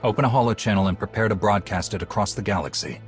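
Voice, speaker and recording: An actor playing an earnest, resolute Jedi commander in a cinematic video game, speaking with authority. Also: male voice, fairly low pitch, fast talker, faint music behind, natural voice. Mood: solemn, resolute.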